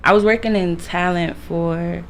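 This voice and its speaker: A woman's voice: on the low side, fairly even intonation, unhurried, a little hiss or hum. A woman stylist talking casually as an interview guest, informal and peppered with "like". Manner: informal, frequent "like".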